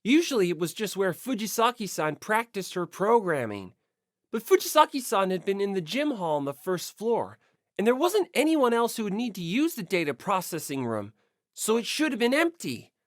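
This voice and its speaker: A woman reads first-person fiction as an audiobook narrator. Her delivery is expressive with wide, lively intonation, and the sound is dry. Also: medium pitch, normal speed, dry recording. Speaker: audiobook reader.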